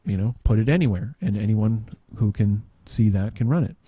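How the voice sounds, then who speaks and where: A man giving an informal software demo, halting and tangential as he thinks aloud in casual talk. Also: fairly low pitch, breathy edge, dry recording. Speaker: podcast panelist demonstrating software.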